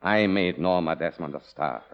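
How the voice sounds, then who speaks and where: A very deep, solemn butler. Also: male voice, moderate pace, vocal fry, clear, resonant tone. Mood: proud, solemn. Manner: formal, solemn. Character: grave, devoted male butler, once a film director. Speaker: actor. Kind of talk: 1950s radio drama acting. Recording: dull vintage radio recording.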